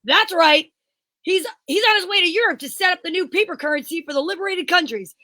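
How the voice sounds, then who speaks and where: Woman playing a very high, flustered police inspector. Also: lively intonation, fairly quick, bright, forceful tone, no room sound, full character voice. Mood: worried. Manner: melodramatic, exclamatory, agitated. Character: flustered, excitable male police inspector, easily agitated. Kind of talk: amateur old-time radio detective drama acting.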